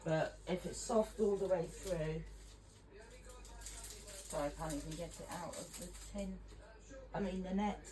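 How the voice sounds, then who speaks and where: A woman's voice: lowish voice, fairly even intonation, measured pace, long pauses, crowd noise. A middle-aged English woman, a home cook, talking in a rambling, chatty, self-conscious way in her kitchen. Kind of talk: casual cooking vlog. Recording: noisy home recording.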